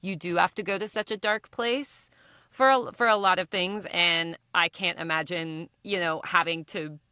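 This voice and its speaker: A woman's voice: lowish voice, fairly even intonation, normal speed, pushed and bright, clean tone, dry recording, clean studio sound. A woman chatting casually in a rambling way, often checking in with a tagged-on question. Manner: rambling, ends phrases with "right?". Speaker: voice actor on a podcast panel. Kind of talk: casual chat.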